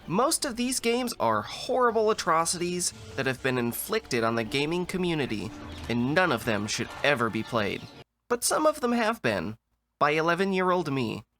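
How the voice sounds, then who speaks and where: A man narrates a scripted comedic video essay with dry, sarcastic deadpan. His voice sits a little high and is bright and crisp-edged, with very lively rises and falls over music. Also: moderate pace.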